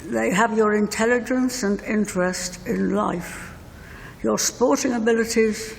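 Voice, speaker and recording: Older woman, slow measured eulogy, gravelly creak, long church reverb, faint noise. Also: fairly high pitch, steady tone. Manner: measured, deliberate phrasing. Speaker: bereaved mother giving a tribute. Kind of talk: reading a prepared eulogy letter. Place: inside a church at a funeral service.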